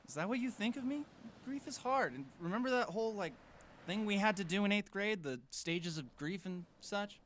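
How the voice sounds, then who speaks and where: A man's voice: high-pitched, animated, normal speed, a bit bright, a little hiss or hum. A man playing a supportive, jokey teenage boy, an earnest best friend in an audio drama. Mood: earnest, comforting. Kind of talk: fiction podcast audio drama acting.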